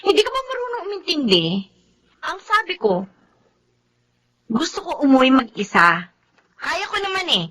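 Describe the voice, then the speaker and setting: A feisty young Filipina of radio drama, very high in pitch. She is blunt, sharp-tongued and theatrically expressive. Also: normal speed, pauses often, exaggerated voice. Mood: scornful. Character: feisty, sharp-tongued young woman torn over her admirer. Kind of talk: Tagalog radio drama acting.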